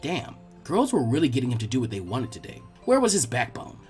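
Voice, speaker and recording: A man narrating fan fiction in an expressive, animated storytelling style. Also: medium pitch, a little fast, soft background music.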